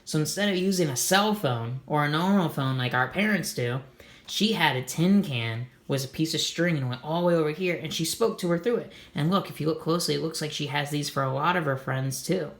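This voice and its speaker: A man's voice: fairly high pitch, a little fast, a bit bright, echoey room. A man reading a children's storybook aloud, adding simple, encouraging comments for young listeners. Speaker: read-aloud host for children.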